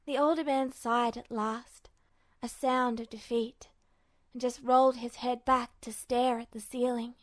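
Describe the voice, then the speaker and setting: A woman with a high-pitched voice narrates a dramatic story expressively. The recording is close and dry, with very little room sound, in the manner of an audiobook. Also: flat delivery, measured pace, no room sound. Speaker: podfic narrator. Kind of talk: expressive scripted fiction narration.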